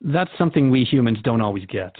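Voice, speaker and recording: A man's voice: mid-range voice, lively intonation, quick pace, narrow, tinny audio, close to an everyday voice. A man playing an easygoing radio host who interviews in a casual, questioning way in a radio drama.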